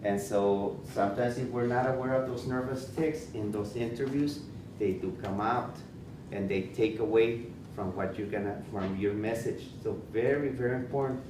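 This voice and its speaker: A man's voice: mid-range voice, moderate pace. A man with a Spanish accent, a school principal giving interview advice in an informal workshop, repeating words and restarting often. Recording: echoey room, far from mic, noisy.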